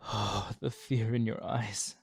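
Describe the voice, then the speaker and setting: A man acts out a tormented vampire holding back his bloodlust in an intimate ASMR roleplay. His voice is low and gravelly, and he speaks very slowly. His words are formal, courteous and apologetic, delivered softly. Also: no echo, heavily acted. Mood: tempted, strained.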